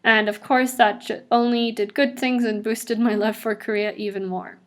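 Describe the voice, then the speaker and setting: A woman's voice: fairly high pitch, steady tone, normal speed. A woman in her twenties with a mixed international accent, chatting casually about her own life while drawing. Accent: mixed international English. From South Africa. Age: 20s. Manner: chatty and upbeat, with frequent laughs and asides. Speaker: vlogger. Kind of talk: casual personal narration over drawings.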